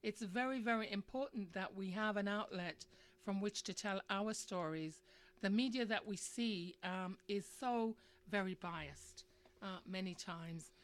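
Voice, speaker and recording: A woman's voice: mid-range voice, a little slow. A woman hosting a live radio discussion, speaking in a conversational, opinionated way and putting questions to her listeners. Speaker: radio show host.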